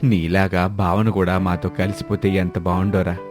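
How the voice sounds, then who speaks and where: A man's voice: low-pitched, level tone, normal speed, darkish tone, soft background music, voice pushed down, natural voice. A man voicing a resigned, kindly old village father in a story, with a rueful manner. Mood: wistful.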